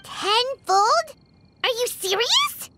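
A woman voicing a tiny, excitable, boastful fairy companion in a video game, with a squeaky childlike voice and perky manner. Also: very slow, music in the background, exaggerated voice. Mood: amazed, boastful. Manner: perky, refers to herself in third person.

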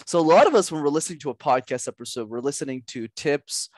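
A young man, a podcast guest and communication coach, giving energetic coaching advice with lots of emphasis and repetition over a headset mic at home. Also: on the high side, fast, no echo, studio quality. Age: young adult. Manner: animated, gestures a lot, drives points home with repetition. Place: small room at home.

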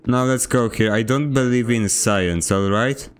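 A man streaming a video game, chatting casually and teasing his teammates with deadpan jokes. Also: medium pitch, a little slow. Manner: joking, teasing, mock-serious deadpan. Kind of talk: casual gaming chat. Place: home room with a fan.

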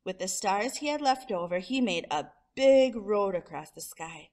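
A woman's voice: medium pitch, expressive, moderate pace, darkish tone. A woman storyteller reading a children's picture book aloud expressively, drawing out descriptive words. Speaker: storyteller, show host.

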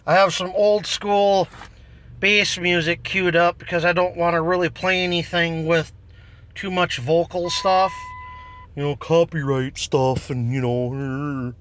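A man's voice: on the high side, fairly even intonation, measured pace, a bit bright. A man giving a casual, informal walkthrough as a car audio hobbyist, self-deprecating and a little coarse, recorded on a handheld phone. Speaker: car audio hobbyist showing his system. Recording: noisy phone recording around vehicle.

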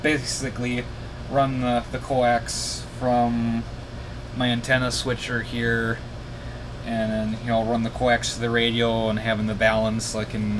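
A tired man giving a casual, hesitant, rambling hands-on demonstration as a radio hobbyist, thinking aloud in a small room. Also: medium pitch. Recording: noisy room, steady background hiss.

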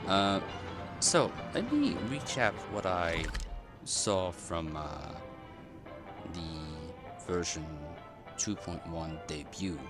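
A man's voice: sits low, big pitch swings, extremely slow. A man casually commenting over his gameplay, rambling and hesitant with many fillers and restarts. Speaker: gaming streamer. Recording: game music loud behind voice.

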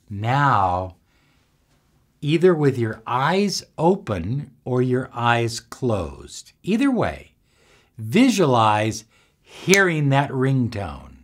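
A man in his sixties giving instructional self-help talk to camera, deliberate and emphatic, pausing between short phrases. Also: mid-range voice, a bit bright. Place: small indoor room with bookshelves.

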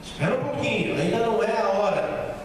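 A man in his thirties with a Brazilian accent, preaching a sermon slowly and emphatically with a handheld mic, repeating key phrases. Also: high voice, lively intonation, a little hiss or hum. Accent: Brazilian Portuguese. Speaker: pastor. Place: large bare church hall with a small stage. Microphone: handheld mic, filmed by far camera. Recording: echoey hall from far off.

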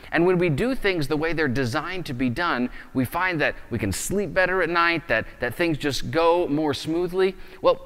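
A man's voice: on the high side, a bit bright, long reverb tail. A man in his thirties preaching a sermon in a conversational way, explaining with gestures, recorded on a lapel mic indoors. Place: indoors in front of a wooden plank wall.

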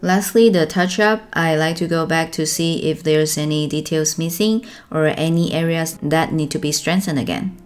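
Calm woman narrating a drawing tutorial. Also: low-pitched, normal speed, few pauses, faint music behind. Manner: calm, instructive. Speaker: art tutorial host. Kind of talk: step-by-step drawing voice-over.

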